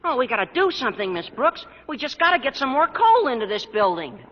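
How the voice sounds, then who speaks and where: An actor playing an eager, excitable teenage schoolboy with a squeaky, earnest delivery in a vintage radio sitcom. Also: male voice, quick pace, a little hiss or hum. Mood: urgent. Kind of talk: 1950s radio sitcom acting. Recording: muffled, reverberant old radio recording.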